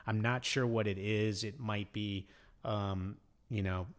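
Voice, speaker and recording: A man's voice: on the low side, steady tone, measured pace. A middle-aged man co-hosting a podcast in a casual, rambling, conversational way. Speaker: podcast co-host. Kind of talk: casual chat.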